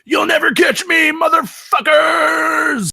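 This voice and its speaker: A man's voice: extremely high pitch, slow pace, creaky, bright, forceful tone, dry recording, raised voice, pitched above the performer's own voice, exaggerated voice. Man acting out a melodramatic, anxious Bigfoot. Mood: defiant. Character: emotional, anxious, homesick male Bigfoot.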